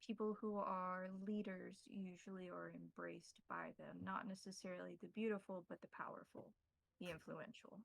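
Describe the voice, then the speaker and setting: Woman playing a creaky-voiced, courtly vampire priest. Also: on the low side, steady tone, dry recording, low-quality recording. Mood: solemn, instructive. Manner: formal, measured, instructive. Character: courtly, devout vampire pack priest tutoring newcomers.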